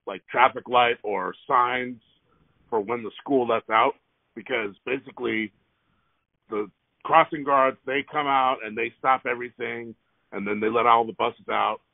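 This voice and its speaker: A man speaks in a gravelly voice over a tinny phone line. He rambles with plenty of hesitation but keeps pressing his complaint. The sound is dry and close, as if from a parked car. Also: medium pitch, no echo. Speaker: resident calling about traffic. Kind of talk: phone call.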